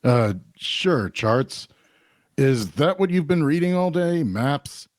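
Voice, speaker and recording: An actor playing a burly pub keeper in an audio drama, halting and polite but unimpressed. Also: male voice, on the high side, animated, no room sound, studio quality. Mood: awkward, nudging. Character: burly, no-nonsense pub keeper, a man, mildly impatient.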